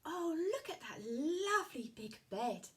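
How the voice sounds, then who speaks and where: A woman voicing a cheerful, polite little girl with golden curls in a storybook puppet show, with bright, sing-song exclamations. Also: sits high, sing-song, unhurried, full character voice. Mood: fussy.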